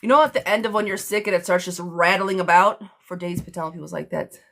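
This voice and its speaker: A woman with a hoarse, smoky voice, doing a chatty live tarot reading while sick with a cough. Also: lowish voice, quick pace, a bit bright. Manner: chatty, reassuring, calls listeners girlfriend. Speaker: tarot reader.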